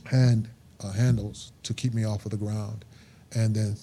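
Earnest man in his fifties, very dark voice. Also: fairly low pitch, level tone, unhurried, a little hiss or hum. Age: 50s. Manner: earnest, emphatic, repeats himself. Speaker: guest, truck driver. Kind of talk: casual interview talk.

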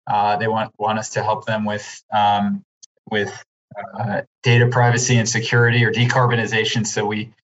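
A man giving business answers as an interview guest, repeating words as he goes in a hesitant way, on a dull lo-fi recording. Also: on the low side. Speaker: investment firm partner, podcast guest.

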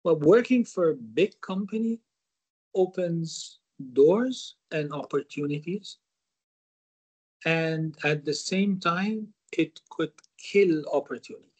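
Male guest, reflective storyteller, slightly raised pitch. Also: animated, takes it slowly, a little mellow, slightly rough, rough recording. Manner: reflective, repeats words for emphasis. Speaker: guest, former corporate manager. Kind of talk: storytelling interview answers.

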